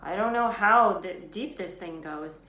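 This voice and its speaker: A woman voicing a blunt, excitable schoolboy with a snappy, sarcastic manner in a storybook reading. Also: fairly low pitch, measured pace. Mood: uneasy. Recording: roomy echo, background noise.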